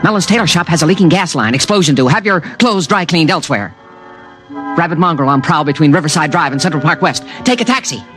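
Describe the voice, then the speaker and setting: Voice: medium pitch, expressive, fast talker, fairly bright tone, soft background music, natural voice. An actor in a 1950s radio drama playing an invisible alien protector who talks in a precise, solemn, insistent way. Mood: earnest.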